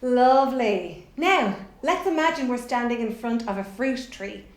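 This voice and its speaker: Woman, middle-aged, high voice, encouraging children's workshop leader, simple instructions, roomy echo. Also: expressive, measured pace. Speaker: theatre workshop presenter. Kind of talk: children's instructional video, explaining and leading warm-up exercises. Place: indoors in a bare room at home. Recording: echoey room.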